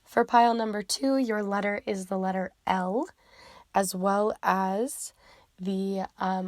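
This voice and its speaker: A woman reading tarot cards for viewers, chatty and rambling with many fillers, her voice croaky and fading from a cold. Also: mid-range voice, dry recording, clean studio sound. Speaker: tarot reader. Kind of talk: pick-a-card reading intro.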